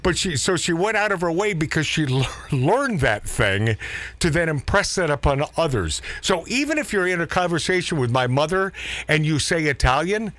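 A man's voice: fairly high pitch, sing-song, moderate pace, a bit bright, no room sound. A middle-aged man hosting a radio show, chatting casually and telling rambling stories with chuckles. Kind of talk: casual studio chat.

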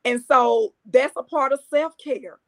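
A woman's voice: high voice, steady tone, unhurried, a bit bright. Earnest middle-aged woman coaching. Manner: earnest, repetitive emphasis. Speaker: guest, empowerment coach. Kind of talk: podcast interview.

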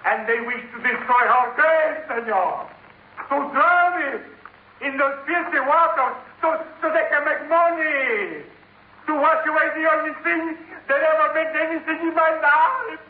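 A man's voice: very high-pitched, measured pace. A man playing an anguished, elderly widowed farmer with a Spanish accent, pleading and fatalistic, in 1950s radio drama style. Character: anguished elderly Venezuelan farmer, devoted widower, desperate saboteur. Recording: narrow-band, echoing, noisy old radio recording.

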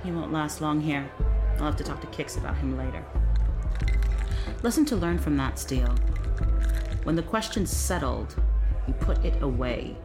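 A woman's voice: sits low, expressive, moderate pace, long pauses. A woman playing a brusque, sarcastic police captain in an audio drama, curt and no-nonsense. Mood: firm, lecturing. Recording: music behind the voice.

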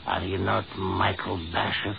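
An actor playing a brooding old Russian prisoner in a 1940s radio horror drama, speaking tersely and haltingly and repeating his words. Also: low-pitched, monotone, creaky, heavily acted. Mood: grim, menacing. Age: older. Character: old, embittered Russian prisoner, slow-witted seeming and brooding. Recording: muffled old 1940s radio recording, hiss.

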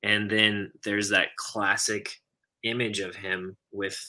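A man from Texas hosting a relaxed podcast conversation, chatty and quick to laugh. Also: fairly low pitch. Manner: chatty, laughs easily, lots of fillers. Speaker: podcast host. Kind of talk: casual conversation.

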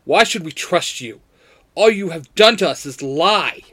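Jason, a forceful young ranger leader played by a man. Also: on the high side, animated, unhurried. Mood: angry, demanding. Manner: forceful, demanding. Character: Jason, stern commanding young male ranger team leader.

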